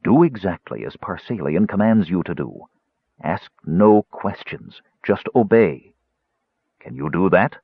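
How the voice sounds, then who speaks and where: A man voicing a stern, solemn mage in an audiobook, giving soft, measured and commanding instructions. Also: low voice, very animated, breathy edge, no room sound, narrow, tinny audio, heavily acted. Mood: calm, commanding. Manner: soft, measured, hushed orders.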